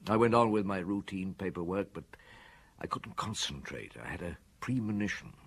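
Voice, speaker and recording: Low-voiced, weary police inspector. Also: male voice, animated, normal speed, slightly rough, exaggerated voice. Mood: brooding. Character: weary, brusque male police chief inspector. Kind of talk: radio drama acting and narration.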